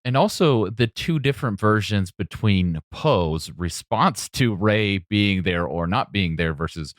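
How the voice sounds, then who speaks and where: A man's voice: fairly low pitch, lively intonation, unhurried, dry recording, clean studio sound. A man hosting a podcast, talking through a film in a conversational, analytical way. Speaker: podcast host. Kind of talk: casual film discussion.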